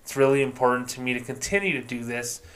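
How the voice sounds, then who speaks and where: A man hosting a solo podcast, talking casually in a self-described monotone and swearing freely. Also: mid-range voice, quick pace. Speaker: podcast host.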